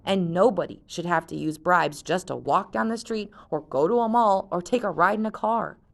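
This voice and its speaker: A woman's voice: lowish voice, moderate pace, vocal fry. A woman reading aloud, voicing a confident, chatty teenage girl who brushes off worries. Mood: breezy. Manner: breezy and dismissive.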